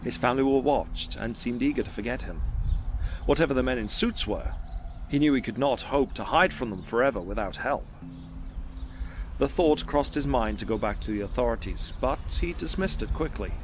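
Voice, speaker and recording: A man's voice: medium pitch, moderate pace, a little hiss or hum. A man narrating an audio drama in a scripted, dramatic storytelling style. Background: music.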